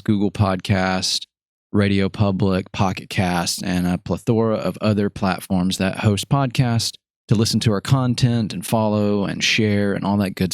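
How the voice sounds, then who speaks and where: Deep-voiced, rambling podcast host man. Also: no echo, clean studio sound. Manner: rambling, self-correcting, upbeat. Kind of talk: casual interview chat.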